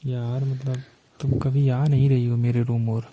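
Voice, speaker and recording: A man's voice: mid-range voice, flat delivery, a little slow, dark tone, dry recording, close to an everyday voice. A man playing a pushy, flirtatious neighbour in a staged phone conversation, coaxing in colloquial speech. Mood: flirtatious, probing.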